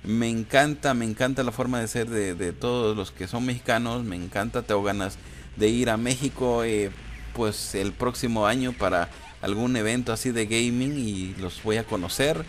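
A man's voice: mid-range voice, moderate pace. A man chatting informally and hesitantly as a YouTuber, using slang and repeating himself. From Guatemala. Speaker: YouTuber answering viewer questions. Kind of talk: casual chat over gameplay footage. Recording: clear background noise.

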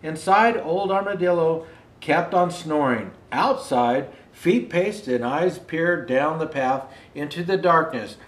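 An older man reading a children's picture book aloud as a storyteller, in an unhurried, expressive way. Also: a little high. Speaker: storyteller dressed as Santa Claus. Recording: roomy indoor echo.

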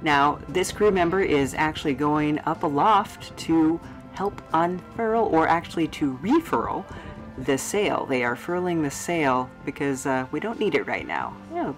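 Low-pitched woman, wry travel narrator. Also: very animated, a little slow, soft background music. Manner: playful, wry, rambling asides. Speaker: vlog host and narrator. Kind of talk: casual, chatty travelogue voice-over. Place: studio voice-over.

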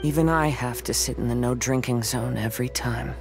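A man voicing a polite, gentle young male samurai in a game, speaking in a courteous, even way. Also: medium pitch, brisk, gravelly, over loud music, natural voice.

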